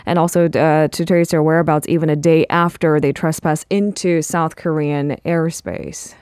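Low-voiced woman hosting a news show. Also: normal speed, clean tone, dry recording, studio quality. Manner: calm, scripted introductions with short listening cues. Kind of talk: radio news discussion.

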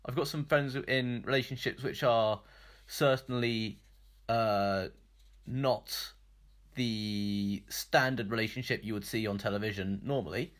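British guy on a podcast chatting about the news, kinda halting, lots of hedging. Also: on the low side, fairly even intonation. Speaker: podcast co-host. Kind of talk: casual news chat.

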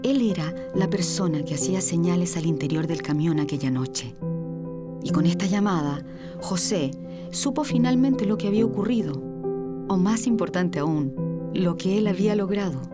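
A woman narrates a dramatic radio story in a fairly low voice. Her delivery is measured and suspenseful. Loud music plays behind her. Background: prominent music and sound effects.

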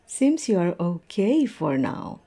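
A woman playing a tipsy, observant coworker in a conversational audio roleplay, musing and teasing in a halting way. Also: fairly low pitch, sing-song, mellow, exaggerated voice. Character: tipsy, observant young woman chatting with coworkers over drinks.

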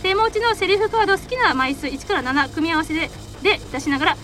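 A woman's voice: very high voice, expressive, fast. A woman hosting a radio show, bantering and explaining a game to a group. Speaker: host of a radio talk and voice drama show. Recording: loud music under the voice. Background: loud music.

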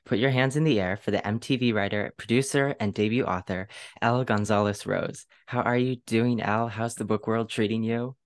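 A man with a very deep voice hosts a podcast. He talks casually and chattily, like he is catching up with a guest. His delivery is enthusiastic and gushing. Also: fairly even intonation, fairly quick. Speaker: podcast host.

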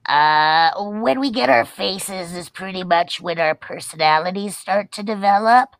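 A woman voicing a chatty, sheepish seven-year-old boy puppet in an improvised interview, halting and rambling as words are searched for. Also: fairly low pitch, vocal fry, dry recording, poor audio. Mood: chatty, hesitant.

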